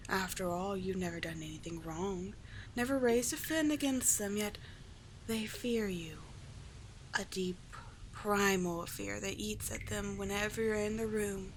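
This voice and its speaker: A woman playing a cunning, doting sea witch in an ASMR roleplay, breathy and measured, with coaxing, condescending endearments. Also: medium pitch, close to an everyday voice. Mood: grave, knowing. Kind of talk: ASMR roleplay, scripted monologue. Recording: clear steady background ambience noise.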